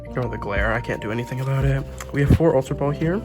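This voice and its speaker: A man with a sore throat and a hoarse, sick voice, chatting casually as he unboxes and reviews a card game deck. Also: mid-range voice, quick pace. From Canada. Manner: chatty, gushing over cute packaging. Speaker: trading card game YouTuber. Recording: music playing underneath.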